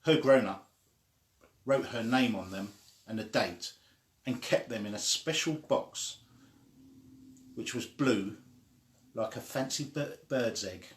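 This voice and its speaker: A man reading a children's story aloud in a deliberate, simply phrased way. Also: medium pitch. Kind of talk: slow read-aloud storytelling. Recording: echoey room.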